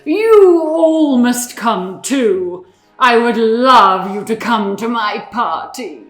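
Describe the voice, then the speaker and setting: An exuberant fairy queen put on by a woman reader, fond and gushing. She draws her words out slowly and emphatically, in a roomy storybook recording with faint music. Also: a little high, animated. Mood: fond, gracious. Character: affectionate, exuberant fairy queen, loving elder sister.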